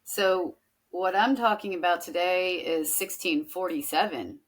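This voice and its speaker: A woman's voice: fairly low pitch, measured pace. A woman co-hosting a podcast, chatting casually and jumping between topics. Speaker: podcast co-host; teacher. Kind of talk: casual chat.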